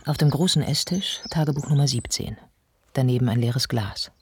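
An actor playing a composed, observant storyteller, narrating a radio drama in a measured way. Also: male voice, mid-range voice, natural voice. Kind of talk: scripted radio play narration. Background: music.

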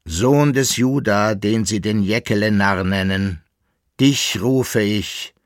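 A man's voice: on the low side, slow pace, no echo, studio quality. A man voicing a solemn otherworldly summoner who proclaims ceremoniously in an audiobook story.

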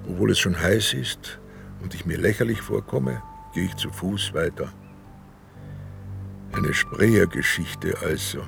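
A weary, stubborn police inspector in a radio crime drama. His low voice is heavily creaky, his delivery terse and dry, with music playing behind him. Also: heavily acted. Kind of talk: German radio crime drama, dialogue and first-person narration.

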